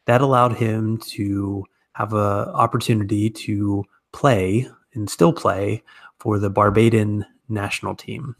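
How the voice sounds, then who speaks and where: A man's voice: fairly low pitch, gravelly. A man with an American accent, speaking as a podcast host and interviewer. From United States. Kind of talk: podcast introduction and conversational interview.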